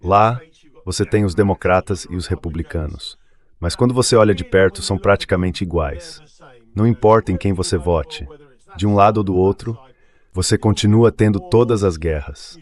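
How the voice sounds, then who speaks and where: A deep-voiced man talks politics as a guest on an interview show. His delivery is conversational and emphatic, repeating phrases to press the point. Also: moderate pace.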